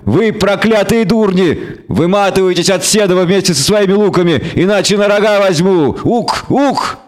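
A man's voice: high voice, moderate pace, voice pushed up, lightly acted. A man voicing an indignant, defiant horned goat devil with a bleating voice, in a fantasy audiobook.

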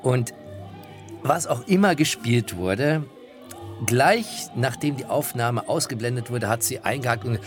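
A man's voice: mid-range voice, expressive, measured pace, faint music behind. A man presenting personal anecdotes in a conversational, enthusiastic storytelling way. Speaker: presenter and interviewer telling anecdotes.

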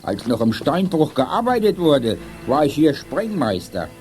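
An actor playing a lonely old quarry watchman in a children's radio play, gruff and reminiscing. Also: fairly high pitch, sing-song, unhurried, darkish tone, faint music behind. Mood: wistful, chatty. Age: older.

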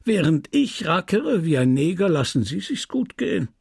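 A man's voice: a little high, animated, measured pace, vocal fry, husky, no echo, studio quality, pitched above the performer's own voice, exaggerated voice. A man voicing a sly, merciless merchant and moneylender in an audiobook novel, with a sarcastic, smug, lecturing manner. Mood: mocking. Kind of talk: dramatised audiobook reading of a literary novel.

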